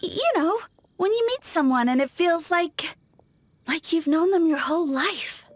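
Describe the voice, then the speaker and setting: A woman voicing a lovestruck young townswoman in a video game dub, talking in a dreamy, halting way. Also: very high-pitched, animated.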